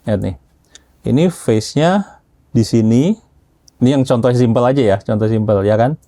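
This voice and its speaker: A man's voice: mid-range voice, moderate pace, warm tone, dry recording. A man casually explaining technical matters as an expert, often checking understanding with short tag questions. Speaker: audio system engineer being interviewed as an expert.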